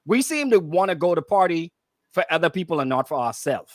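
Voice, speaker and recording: A man's voice: fairly high pitch, sing-song, brisk, no echo, clean studio sound. A man with a Bahamian accent co-hosting radio, explaining things casually and conversationally and often repeating himself. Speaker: radio co-host and DJ.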